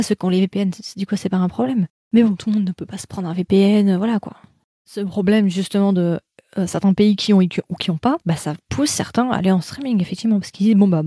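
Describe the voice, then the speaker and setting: A woman's voice: mid-range voice, dark tone, husky, no echo, studio quality. A young woman hosting a casual live chat, talking to viewers in a slangy, rambling way with many fillers. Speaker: host of a live chat about Korean dramas.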